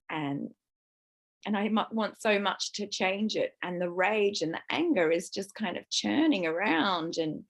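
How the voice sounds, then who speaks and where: A woman in her fifties with a croaky voice, sharing raw, distressed feelings in a book club and swearing as she vents. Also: mid-range voice. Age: 50s. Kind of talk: emotional personal sharing.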